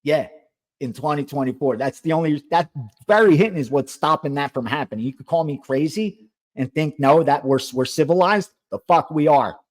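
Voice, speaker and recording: A man's voice: fairly high pitch, normal speed. A middle-aged man whose first language was Greek, hosting a sports betting show and giving a heated, emphatic monologue with swearing and repeated phrases. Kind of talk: impassioned monologue.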